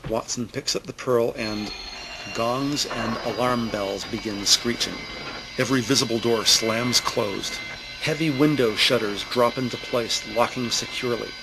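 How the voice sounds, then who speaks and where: A man reading scripted audio drama narration in a deliberate, descriptive storytelling manner. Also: mid-range voice, background noise. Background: prominent music.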